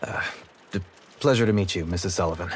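An actor playing a breezy, eager, awkward ex-cop assistant in a noir audio drama, informal and a bit stumbling. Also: male voice, sits low, fast talker, slight background noise, natural voice. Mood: sheepish.

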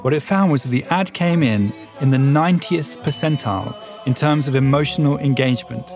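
A man's voice: mid-range voice, a little fast, darkish tone, soft background music. A man hosting a podcast, narrating a scripted intro and then asking questions conversationally with fillers. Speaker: podcast host.

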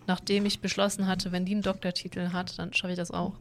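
A woman's voice: on the low side, little pitch movement, fast, slight background noise. A woman chatting casually, rambling and telling stories with animation. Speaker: former university staff. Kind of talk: casual podcast chat.

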